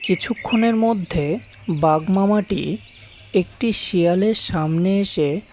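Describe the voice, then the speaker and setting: A woman's voice: medium pitch, takes it slowly, a little mellow, slight background noise. A woman narrating a scripted children's fable as the storyteller.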